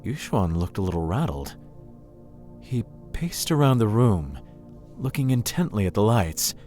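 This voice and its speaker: A man's voice: fairly low pitch, sing-song, measured pace, warm tone, soft background music. A man reading scripted story narration for a visual novel game.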